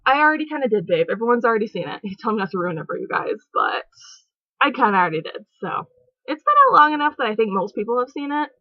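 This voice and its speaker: A woman's voice: a little high, lively intonation, quick pace, no room sound. A young woman chatting casually on her home vlog, giggly and rambling with lots of fillers. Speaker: vlogger. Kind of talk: casual movie review chat. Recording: dull lo-fi home recording.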